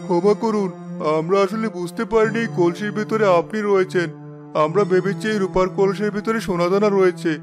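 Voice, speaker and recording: A man plays an honest, poor cartoon villager in a high voice. He sounds earnest and anxious, deferential to those above him, with clear music underneath. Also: fairly even intonation, a little fast, close to an everyday voice. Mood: pleading. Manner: pleading, earnest. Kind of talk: cartoon fairy-tale voice acting.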